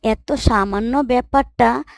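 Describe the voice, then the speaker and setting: A woman voicing a kind, pious mother in a moral cartoon, gently admonishing and instructive. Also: fairly high pitch, unhurried, vocal fry, dry recording, studio quality. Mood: earnest, instructive. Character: kind, pious mother gently correcting her young son. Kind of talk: Islamic moral cartoon voice acting.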